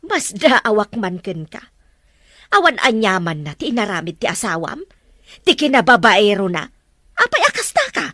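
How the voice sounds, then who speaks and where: A woman's voice: medium pitch, wildly swooping pitch, moderate pace, pushed and bright, dry recording, studio quality, exaggerated voice. A woman playing a protective, disapproving mother in a drama, with a firm, scolding manner. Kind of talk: Ilocano radio-style drama acting.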